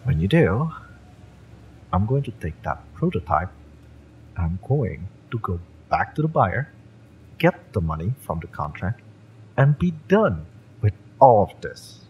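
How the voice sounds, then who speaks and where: A man's voice: deep voice, very animated, a little slow, long pauses, muffled recording, a little hiss or hum. A man playing a fiery, bitter young mercenary in an audio roleplay, sardonic and taunting, with sighs and swearing. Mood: taunting, impatient. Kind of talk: romance audio roleplay acting, addressed to the listener.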